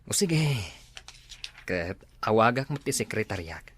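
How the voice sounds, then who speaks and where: A man's voice: fairly low pitch, sing-song, a little slow, slight background noise. A man playing a suave accomplice to a scheming woman in a radio drama. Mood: smooth, conspiring. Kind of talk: Ilocano radio drama acting.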